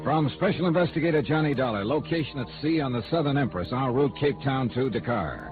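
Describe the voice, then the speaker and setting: An actor playing a wry, hard-boiled insurance investigator, narrating in dry, clipped 1950s radio noir style. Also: male voice, medium pitch, expressive, fairly quick, natural voice. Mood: wry narration. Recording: tinny old radio recording with music.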